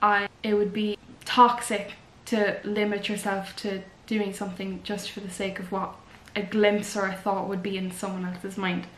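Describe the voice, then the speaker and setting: A woman's voice: medium pitch, little pitch movement, moderate pace, few pauses, fairly bright tone, slight background noise. A young woman vlogger giving earnest, rambling advice to the camera in a casual chat. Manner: chatty, earnest, rambling with fillers. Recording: echoey room.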